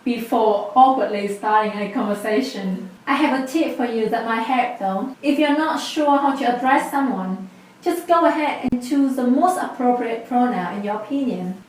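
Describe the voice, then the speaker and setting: A woman's voice: on the high side, moderate pace, heavy reverb, recorded from a distance, rough recording. A woman in her twenties with a Vietnamese accent, patiently explaining a language lesson step by step. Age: 20s. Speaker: language teacher.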